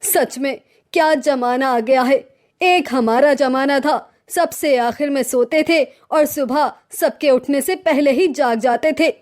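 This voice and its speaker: Woman putting on a high-pitched nagging older mother-in-law. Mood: grumbling. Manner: grumbling, theatrical, taunting. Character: nagging older mother-in-law, sarcastic, scornful, village-loving. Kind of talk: cartoon story voice acting.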